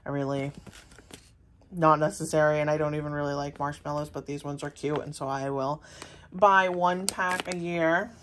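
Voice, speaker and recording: Young woman, American accent, low pitch, chatty rambling vlog narration, handheld home recording, background noise. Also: steady tone, moderate pace. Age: young adult. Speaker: vlogger. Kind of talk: casual grocery haul vlog narration. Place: indoors at home, kitchen table.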